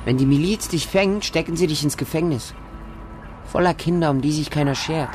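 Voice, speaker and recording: A man's voice: fairly high pitch, normal speed, music in the background, natural voice. An actor narrating a radio drama as a bleak, hardened homeless Russian street boy, in short, stark sentences. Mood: defiant. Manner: short, plain, stark present-tense sentences.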